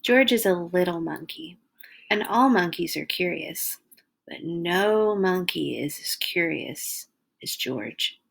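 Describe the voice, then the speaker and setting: A woman hosting a read-along, reading a children's picture book aloud with animated, exaggerated emphasis for young listeners. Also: on the low side, slow, vocal fry, husky.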